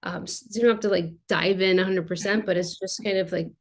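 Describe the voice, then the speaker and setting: A woman's voice: mid-range voice, fairly even intonation, a little fast. A woman art therapist being interviewed, explaining in a chatty, casual way with lots of filler words.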